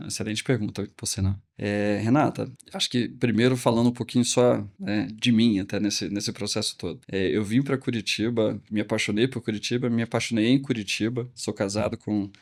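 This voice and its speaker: A middle-aged man, a company director, explaining at length in a podcast interview, with a calm measured delivery. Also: fairly low pitch. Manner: measured, explanatory, often tags phrases with "né".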